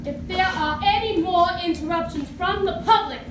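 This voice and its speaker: A woman's voice: very high-pitched, moderate pace, noisy background. A woman on stage playing a stern, commanding trial judge, formal and declamatory. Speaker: actor in a stage play. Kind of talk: stage adaptation of a 1950s radio drama. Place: live theatre stage. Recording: echoey theatre, distant mic, music behind.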